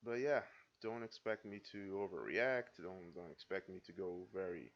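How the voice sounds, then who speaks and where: A man for whom English is a second language, calmly commenting on an anime episode in a casual, self-described stoic way, with dull lo-fi sound. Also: low-pitched, moderate pace. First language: not English. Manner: self-described stoic, muttering asides and apologies. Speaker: anime reaction video host.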